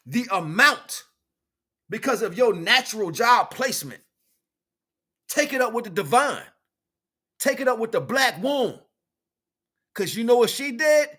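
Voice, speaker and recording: Bright, forceful American man preaching off the cuff. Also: sits high. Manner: rambling, emphatic, slangy, swearing freely. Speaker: livestream host, self-styled spiritual teacher. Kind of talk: freeform spiritual monologue, street-talk preaching.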